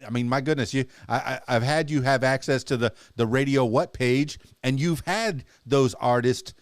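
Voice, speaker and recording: A man's voice: mid-range voice, normal speed, no room sound, clean studio sound. A man with a Cuban Spanish accent hosting a casual podcast interview, talking in an effusive, chatty and playful way. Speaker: podcast host.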